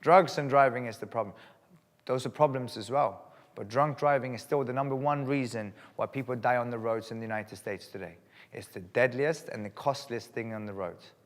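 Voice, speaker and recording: Man, from sweden, earnest live speech, mid-pitched voice, long hall echo. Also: normal speed. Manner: earnest and emphatic, repeats phrases for weight. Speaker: live event speaker. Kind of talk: personal speech to a live audience. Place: event hall.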